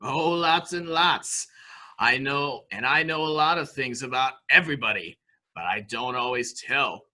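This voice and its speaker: A man's voice: medium pitch, unhurried, sharp tone. A man playing a childlike, dangerously unhinged killer in a murder mystery drama, boasting in a sing-song way with repeated phrases. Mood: smug, secretive. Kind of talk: amateur murder mystery audio drama acting.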